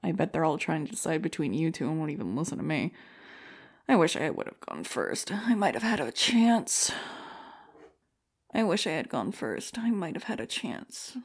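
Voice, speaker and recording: Woman acting a sardonic rival comedienne, lowish voice, jaded, grudging, competitive, audio drama. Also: very animated, normal speed, breathy edge, heavily acted. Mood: sullen.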